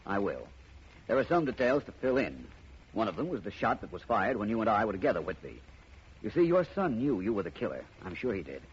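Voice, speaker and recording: A suave, deep-voiced gentleman detective. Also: big pitch swings, fairly quick, full character voice. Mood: explaining. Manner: smooth, assured, explaining with relish. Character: suave, cool-headed male amateur detective. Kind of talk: 1940s radio acting. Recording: muffled old radio broadcast, faint hiss.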